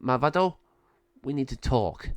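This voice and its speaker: A man's voice: medium pitch, expressive, unhurried, no room sound, close to an everyday voice. A man voicing a wise, firm good sorceress. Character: wise, determined elder sister sorceress.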